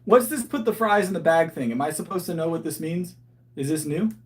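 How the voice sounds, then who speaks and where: Man, 30s, casual livestream host, slightly higher voice, chatty and meandering. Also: animated, fairly quick. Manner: rambling, with frequent restarts and thinking aloud. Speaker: livestreaming powerlifter. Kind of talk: casual livestream chat reading viewer comments.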